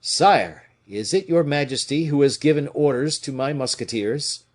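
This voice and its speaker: A man voicing an indignant, scornful veteran musketeer with a rough, declamatory delivery in an audiobook. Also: fairly high pitch, expressive, moderate pace, pitched above the performer's own voice, lightly acted. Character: fiery, indignant veteran musketeer, gruff old soldier. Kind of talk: dramatic audiobook character reading.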